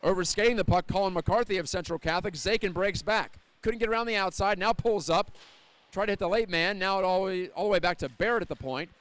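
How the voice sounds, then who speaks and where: A man doing live audio play-by-play of an ice hockey game as the announcer, describing the action in a measured, detailed way that lifts with the play. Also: high-pitched, a bit bright, clear, resonant tone, no room sound. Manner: measured, descriptive, lifting on scoring chances. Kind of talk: live ice hockey radio-style commentary.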